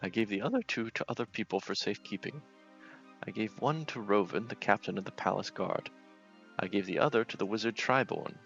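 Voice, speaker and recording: Man playing a blustering old knight, gravelly. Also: fairly low pitch, fairly quick, fairly bright tone, lo-fi sound, faint music behind. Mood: sheepish. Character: aging, bumbling, sceptical royal knight.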